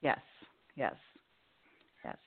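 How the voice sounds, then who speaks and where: A woman from Illinois, calling in as an interview guest and speaking in an earnest, measured way. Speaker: guest, nurse. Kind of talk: phone-in interview. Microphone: phone call. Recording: tinny phone line.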